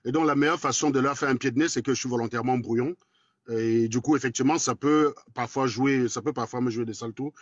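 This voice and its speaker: A quick-talking Cameroonian man in his forties. His voice is husky and a little raspy, and he talks bluntly, with crude words. The audio is dry and dull, like a lo-fi live stream. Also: medium pitch, steady tone, no echo. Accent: Cameroonian French. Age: 40s. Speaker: guest in a live debate. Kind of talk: casual live chat.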